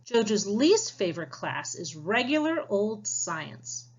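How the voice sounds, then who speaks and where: Slow-paced, animated woman teacher reading aloud. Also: medium pitch, slightly rough, dull audio. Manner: instructive, addresses children directly with questions and prompts. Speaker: teacher reading a picture book aloud to young pupils. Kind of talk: read-aloud science lesson.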